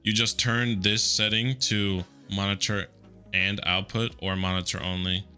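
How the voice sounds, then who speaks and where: A man with a deep voice walking through software settings in a relaxed, conversational way, with a headset mic. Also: a little slow, soft background music. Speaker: tutorial host. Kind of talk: casual screen-recorded software tutorial.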